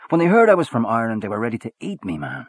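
Brief: hearty, swaggering worldly braggart, read by a man, husky, lively pitch. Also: rapid-fire, no echo, clean studio sound. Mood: boastful. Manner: expansive, swaggering, back-slapping.

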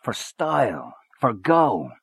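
A man voicing a boastful, worldly man who talks in a loud, hearty, swaggering way. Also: medium pitch, huge pitch swings, slow pace, vocal fry, husky, no room sound, pitched above the performer's own voice, exaggerated voice. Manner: expansive, swaggering, back-slapping.

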